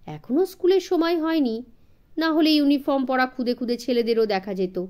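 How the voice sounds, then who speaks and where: A woman narrating a suspense story from a script in an expressive way, as an audiobook reader. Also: sits high, normal speed.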